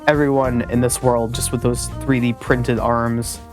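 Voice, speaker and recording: A man's voice: mid-range voice, little pitch movement, a little slow, music in the background. A man chatting casually to his live-stream audience in a rambling, self-deprecating way.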